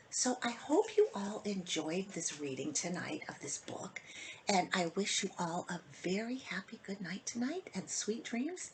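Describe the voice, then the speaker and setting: A woman reading a rhyming picture book aloud to children in an expressive, sing-song manner, with phrases that often end softly. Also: mid-range voice, a little hiss or hum, rough recording. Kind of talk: storybook read-aloud for children.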